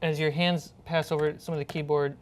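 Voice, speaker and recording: A woman's voice: sits low, little pitch movement, brisk, a little hiss or hum. A woman narrating a tabletop horror role-playing game as game master. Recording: music bed under the voice. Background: background music.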